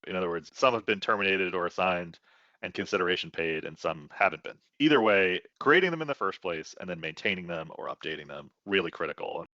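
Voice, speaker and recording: A man giving conversational expert commentary as a tax advisor on a business podcast, explaining in a measured way. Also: low-pitched, animated, pushed and bright, dry recording, dull audio.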